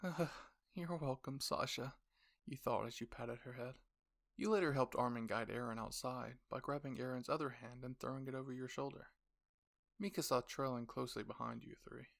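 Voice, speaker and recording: A man's voice: medium pitch, steady tone, brisk, creaky, a bit bright. A man reading scripted second-person story narration.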